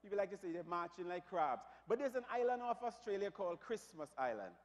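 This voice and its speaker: A pastor preaching to his congregation with a slightly raspy, somewhat high voice. He swings between hushed prayer and fervent, shouted declarations. Long reverb from the church hall trails each phrase. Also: big pitch swings, fast. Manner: builds from quiet to loud, preaching fervour. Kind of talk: sermon.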